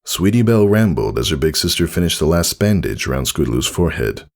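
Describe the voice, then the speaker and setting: A man's voice: a bass voice, big pitch swings, quick pace, darkish tone. A man reading a story aloud as narrator in a scripted storytelling style. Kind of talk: scripted fan fiction narration.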